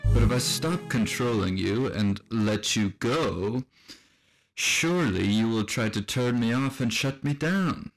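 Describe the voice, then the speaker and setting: An actor playing a coolly logical, condescending AI machine with murderous intent in a radio drama. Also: male voice, mid-range voice, expressive, unhurried, low-quality recording. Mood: cold, menacing. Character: calm, menacing AI music machine bent on killing. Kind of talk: scripted radio drama dialogue. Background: faint music.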